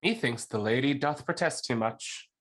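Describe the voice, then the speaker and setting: An actor playing a nervous, excitable young suitor in a period mystery radio play, with a stammering manner. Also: male voice, moderate pace, natural voice. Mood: sullen. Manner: stammering, high-strung.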